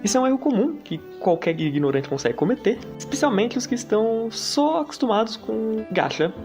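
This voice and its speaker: Man acting a pompous, very animated scholarly defender. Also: sits high, fairly quick, soft background music. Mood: condescending. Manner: pompous, formal, condescending.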